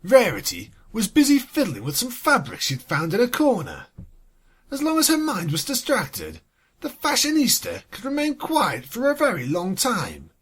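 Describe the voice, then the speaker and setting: A story reader with a husky voice, sardonic and exasperated, swinging between narration and sweary asides. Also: mid-range voice, wildly swooping pitch, moderate pace. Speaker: fan fiction reader and commentator. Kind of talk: scripted story reading with sarcastic asides.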